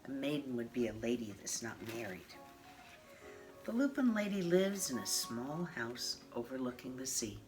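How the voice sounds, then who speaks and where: A woman of mature years reading a children's picture book aloud in a measured, expressive way. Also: a bass voice, low-quality recording. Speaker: storybook reader. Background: classical piano music.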